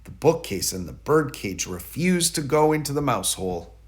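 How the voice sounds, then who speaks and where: A man narrating a children's bedtime story in an expressive way, leaning dramatically on key words. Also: mid-range voice, moderate pace. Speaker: storybook reader.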